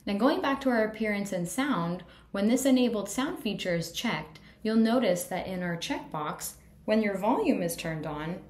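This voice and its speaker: A woman giving an upbeat, friendly voice-over walkthrough of software settings. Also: mid-range voice, animated, moderate pace, hardly any pauses, roomy sound. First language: English. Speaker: product tutorial presenter. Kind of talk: screen-recorded software walkthrough.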